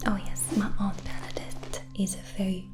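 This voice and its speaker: A woman whispering in a hushed, gently hesitant way, playing a hospitable witch in an ASMR roleplay. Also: medium pitch, little pitch movement, normal speed. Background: background music.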